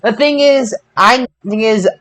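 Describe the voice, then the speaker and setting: A woman's voice: on the high side, unhurried, crowd noise. A woman on a wrestling podcast panel, chatting casually and arguing her points insistently. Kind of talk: casual argumentative chat.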